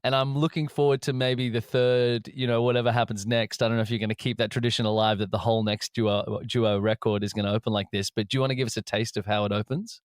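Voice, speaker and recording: A man's voice: fairly low pitch, level tone, fast talker, hardly any pauses, smooth, no room sound, studio quality. A man interviewing two musicians in a casual, rambling, enthusiastic way.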